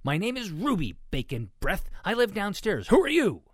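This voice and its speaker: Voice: mid-range voice, wildly swooping pitch, normal speed, husky, no room sound, studio quality, voice pushed up. A storybook reader voicing a plucky, indignant little rodent girl detective with a snappy, spirited delivery.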